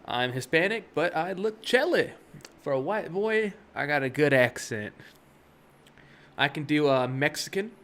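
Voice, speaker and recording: A man's voice: on the high side, expressive, a little slow, a bit bright. Rambling young man on a live stream. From Miami, USA. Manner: rambling and chatty, full of fillers and asides. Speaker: day trading livestream host. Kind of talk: casual chat on a live stream.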